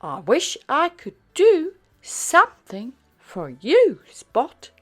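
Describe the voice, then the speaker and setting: A woman's voice: high-pitched, huge pitch swings, takes it slowly, fairly bright tone, soft background music, pitched above the performer's own voice, exaggerated voice. A woman voicing a hearty, courteous grown male kangaroo in a children's storybook, genteel and emphatic. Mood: grateful.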